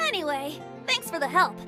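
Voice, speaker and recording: A woman's voice: very high-pitched, full character voice. A woman voicing a cheerful, playful young male bard with a flowery, theatrical way of speaking. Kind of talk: video game voice acting.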